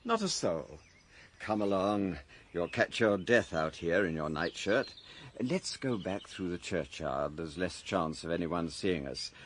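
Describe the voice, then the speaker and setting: An actor playing a polite, fussy, reassuring gentleman in a radio drama. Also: low-pitched, animated, a little slow, slight background noise. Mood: polite, curious. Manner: genteel, polite, mildly fussy. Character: polite, fussy, reassuring gentleman passer-by, insomniac early walker.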